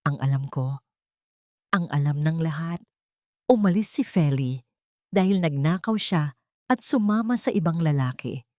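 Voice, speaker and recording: A woman's voice: low-pitched, expressive, unhurried, pauses often, warm tone, no room sound, studio quality. A woman voicing a wealthy, proud man burdened by guilt in a dramatic audio story. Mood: insistent.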